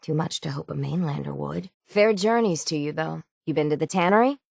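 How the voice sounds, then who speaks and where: A gruff female harbor shipwright from a video game, speaks in a slightly low voice. She is stubborn and hard-bitten, with a folksy, salty way of putting things. The sound is dry, with no room around it. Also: fairly quick, dry recording. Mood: grumbling, determined. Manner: salty, plainspoken, folksy nautical talk. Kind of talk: video game character dialogue.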